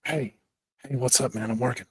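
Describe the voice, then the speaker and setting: A man's voice: mid-range voice, fairly quick, breathy edge, no room sound, natural voice. A man voicing a reluctant, grumbling, slangy mortician on a phone call in a tabletop roleplaying game. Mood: put-upon. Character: wary, busy male mortician taking a phone call.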